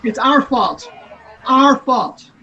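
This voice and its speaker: Man acting a slow, high-voiced remorseful doomsayer. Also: expressive, sharp tone, heavily acted. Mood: despairing, accusing. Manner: solemn, declamatory. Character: remorseful, despairing man blaming himself for mankind's doom. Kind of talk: 1930s radio drama acting. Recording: muffled lo-fi sound with faint music.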